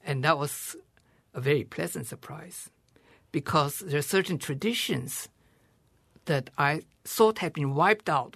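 Older male guest from China, seventies, thoughtful and unhurried, gravelly husky tone. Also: a little high, a bit bright, dry recording. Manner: measured and thoughtful, pausing to rephrase. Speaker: guest scholar. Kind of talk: reflective interview answers.

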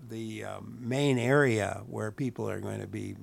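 A man's voice: lowish voice, a little slow, creaky. A man hosting a call-in radio show, friendly, with some hesitant filler. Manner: friendly, fills gaps with hesitations. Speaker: radio talk show host.

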